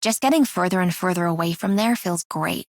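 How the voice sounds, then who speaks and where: A woman's voice: on the low side, brisk, dry recording, clean studio sound, close to an everyday voice. An actress voicing a blunt, jaded female archer in a video game, sounding bored. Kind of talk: scripted game character voice lines.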